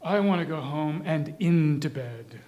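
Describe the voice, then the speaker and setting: High-voiced impatient young waiter, acted by a man. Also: lively intonation, a little slow, lo-fi sound, faint music behind. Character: impatient young male waiter, confident, eager to go home. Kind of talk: staged reader's theatre reading.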